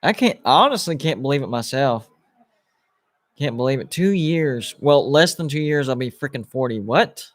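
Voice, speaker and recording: A man's voice: medium pitch, animated, moderate pace, no echo. Rambling thirty-something man on a live stream. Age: 30s. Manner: rambling, restarts, lots of "like" and "you know". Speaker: sports YouTuber hosting a live watch party. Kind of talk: casual live-stream chat, reading viewer comments.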